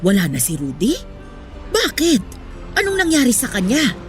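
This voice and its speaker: A woman's voice: fairly high pitch, huge pitch swings, normal speed, faint music behind. A woman playing an elderly, bossy but motherly street vendor in a radio drama. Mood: concerned.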